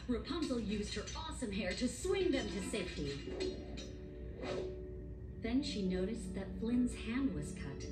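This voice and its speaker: A woman narrating a scripted bedtime story in an expressive storytelling manner. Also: on the low side. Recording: roomy distant pickup with music. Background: music.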